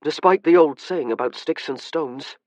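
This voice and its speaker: A polite, restrained young gentleman speaking in formal, measured tones, as a male narrator voices him. His voice sits fairly high, and the recording is dry and a touch dull. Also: no room sound, lightly acted.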